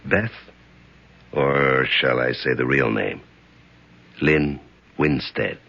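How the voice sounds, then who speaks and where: A gravelly, sneering gangster. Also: male voice, extremely low pitch, slow pace, exaggerated voice. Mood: menacing. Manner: sneering, coolly threatening. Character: smooth menacing male gangster, blackmailer. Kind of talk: 1970s radio drama acting. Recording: dull old radio broadcast.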